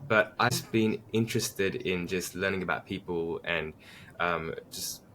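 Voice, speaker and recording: A man's voice: low-pitched, a little slow, fairly bright tone, a little hiss or hum, poor audio. A man from Tasmania, a podcast guest chatting casually and reflectively about himself. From Tasmania, Australia.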